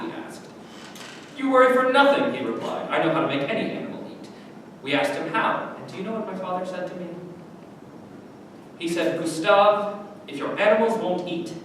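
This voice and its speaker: An actor in a staged reading playing a cruel, condescending Nazi camp officer who speaks deliberately with dramatic pauses. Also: male voice, a bit bright. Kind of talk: staged play reading, theatrical monologue. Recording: very echoey room, distant mic, noisy rumble.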